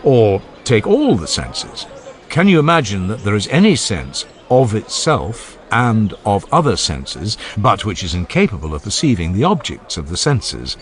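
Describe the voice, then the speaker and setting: A man's voice: lowish voice, huge pitch swings, unhurried. A man reading the part of an earnest, genial ancient philosopher who questions relentlessly, step by step, in a dramatized audiobook dialogue. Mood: questioning, emphatic. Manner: rhetorical, emphatic, step-by-step questioning.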